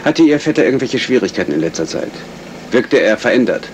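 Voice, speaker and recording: An actor playing a probing police inspector in a 1970s German radio crime play, firing clipped, insistent questions. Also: male voice, mid-range voice, sing-song, fairly quick, lightly acted. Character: brisk, probing male police detective inspector. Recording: noisy vintage radio play recording.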